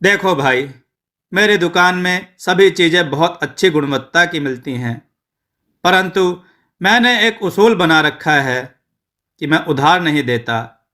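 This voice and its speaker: Man as stubborn male grocer, storybook dialogue, firm, matter-of-fact, forceful bright tone, slow pace. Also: fairly high pitch, expressive. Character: stubborn, principled village grocer man refusing credit.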